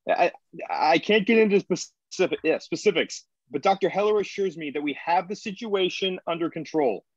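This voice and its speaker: An actor playing an earnest colony leader trying to keep control in a screenplay table read, measured and placating. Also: male voice, sits high, dry recording, dull audio.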